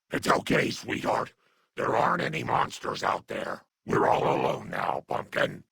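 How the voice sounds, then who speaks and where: An actor voicing a kindly, muddled old super mutant grandmother in a video game, with a gravelly, growling rasp and doting but menacing manner. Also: medium pitch, pushed and bright, no echo, exaggerated voice. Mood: soothing. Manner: doting endearments, slow crooning delivery. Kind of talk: video game character dialogue.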